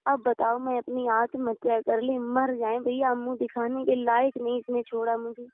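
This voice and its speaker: A woman's voice: on the high side, level tone, normal speed, no room sound. A woman on a phone call giving her side in an aggrieved, pleading and deferential way. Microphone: telephone. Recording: narrow tinny phone-call recording.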